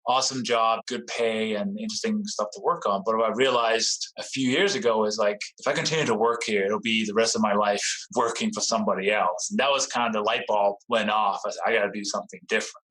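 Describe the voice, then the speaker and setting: A middle-aged man with a Danish accent speaks as a podcast guest. He tells his story in a relaxed, thoughtful way, and his voice sits somewhat low. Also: fast, hardly any pauses, rough recording. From Denmark. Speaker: guest, real estate investor. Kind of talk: casual interview.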